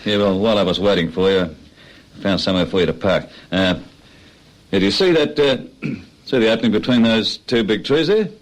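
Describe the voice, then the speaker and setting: An actor playing a cocky, bossy ringleader of small-time crooks in a radio crime drama, with an Australian accent and brisk, slangy speech. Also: male voice, lowish voice, natural voice, low-quality recording.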